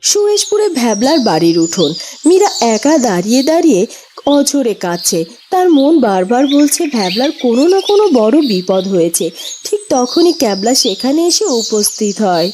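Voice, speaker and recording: A woman's voice: on the high side, big pitch swings, a little fast, noisy background. A woman narrator reading scripted scene narration for a cartoon fairytale.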